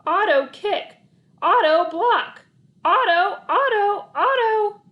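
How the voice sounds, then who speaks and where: Casting: woman reader as irate male soccer coach in a storybook, high-pitched, barking orders at full yell. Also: animated, slow pace, echoey room, narrow, tinny audio, full character voice. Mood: angry yelling. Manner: barking, shouted commands. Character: growly angry soccer coach, man, yelling.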